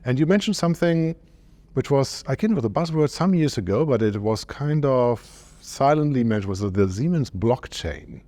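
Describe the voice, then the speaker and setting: A man's voice: medium pitch, animated, moderate pace, warm tone, dry recording. A middle-aged man hosting an on-site interview at a conference. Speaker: interviewer, host. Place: medium conference room, indoors.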